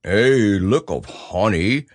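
Man doing a gentle simple storybook bear, earnest, really slow drawn out words, dry close recording. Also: on the low side, lively intonation, dry recording, voice pushed down. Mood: dreamy. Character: humble, slow-witted, gentle male toy bear. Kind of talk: storybook character voice.

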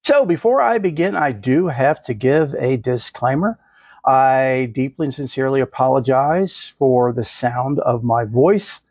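A man hosts a faith podcast with a gravelly, husky voice, stuffed up and nasal from the flu. He talks in an earnest, effusive way and now and then jokes with himself. The sound is close and dry. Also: mid-range voice, expressive, unhurried, darkish tone, no echo. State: congested from the flu. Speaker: podcast host. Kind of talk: faith podcast chat and reading aloud.

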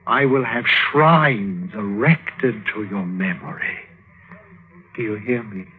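A man's voice: on the low side, takes it slowly, breathy edge, full character voice. An actor playing a ruthless, grandiose Ottoman warlord in a theatrical, declamatory 1950s radio drama manner. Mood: cold, insistent. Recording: noisy, phone-like old radio recording.

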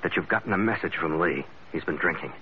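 Male actor playing shrewd skipper-sleuth: clipped, wry, fast, lowish voice, noisy vintage radio sound. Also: lightly acted. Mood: firm, coaxing. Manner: clipped, wry, hard-boiled. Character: cool, shrewd male skipper turned amateur detective. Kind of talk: 1940s-1950s radio detective drama acting and narration. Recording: muffled, noisy old radio recording.

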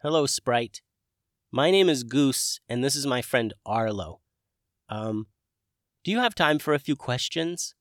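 A man's voice: medium pitch, expressive, unhurried, no room sound, clean studio sound, close to an everyday voice. A man voicing an earnest, polite male dragon who talks hesitantly.

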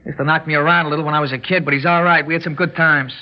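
A man's voice: fairly high pitch, steady tone, very fast, close to an everyday voice. An actor playing a cocky young small-time gunman in a radio drama, with brusque, slangy tough-guy talk. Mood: defensive. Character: cocky, uneducated young male small-time stickup man. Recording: dull old radio recording.

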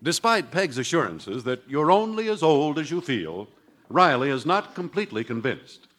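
A man's voice: a little high, big pitch swings, normal speed, a bit bright. A man with an American accent announcing and selling in a hearty, polished old-time radio style. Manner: hearty, polished sales delivery. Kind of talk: 1940s radio advertising and announcing. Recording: old radio broadcast recording.

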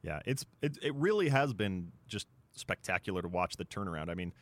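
A man co-hosting a sports talk show, explaining his thoughts in a casual, somewhat halting conversational way. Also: low-pitched, lively intonation.

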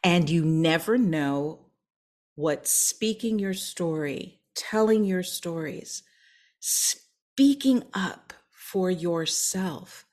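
A woman who sings opera and coaches others tells her story in an interview. She speaks slowly and in a fairly low voice, earnest and emphatic. On her key lines she drops to a whisper. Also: expressive, a little mellow. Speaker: guest, opera singer and coach.

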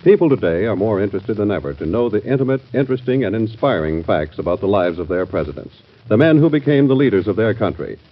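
A man's voice: on the low side, animated, quick pace, few pauses. A man reading a scripted introduction as a stately, earnest 1940s radio announcer. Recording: dull old radio recording.

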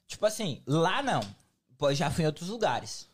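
High-voiced Brazilian man telling a story. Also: moderate pace, a little hiss or hum. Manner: slangy storytelling, relaxed. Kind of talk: casual podcast chat.

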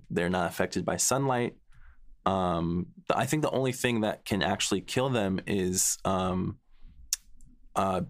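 Very deep-voiced man in thoughtful discussion. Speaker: podcast guest, series writer. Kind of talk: casual behind-the-scenes discussion.

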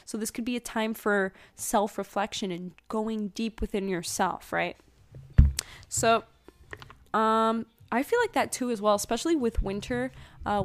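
A young woman talks through a spiritual card reading, chatty and rambling, stopping often on ums and side thoughts. Her voice sits mid-height for a woman. The sound is dry and close, with no room echo. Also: medium pitch. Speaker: spiritual podcaster. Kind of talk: casual card-reading chat.

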